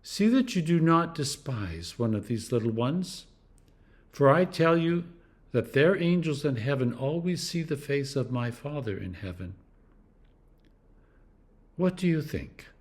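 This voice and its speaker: A clergyman reading scripture aloud in a deliberate, expressive way, with dramatic emphasis and pauses. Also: a little high. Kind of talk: scripture reading, devotional narration.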